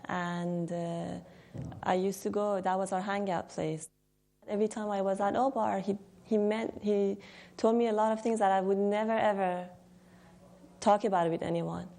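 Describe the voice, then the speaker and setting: A woman from Iran speaks, her voice gravelly with fry and mellow in tone. She reflects openly, restarting sentences as she recalls things. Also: mid-range voice, steady tone, normal speed. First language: Persian. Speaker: interviewee. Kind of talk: personal interview.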